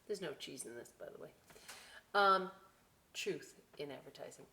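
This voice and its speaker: A woman reading aloud to children as a story time host, expressive and drawn out, with chatty conversational asides. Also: low-pitched, moderate pace, vocal fry. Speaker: library story time reader.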